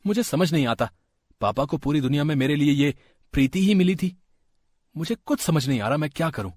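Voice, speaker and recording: Man acting agitated husky young husband. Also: a little high, expressive, a little fast, a little mellow, dry recording, voice pushed up. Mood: exasperated. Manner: agitated, accusing. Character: angry young husband, blaming and exasperated.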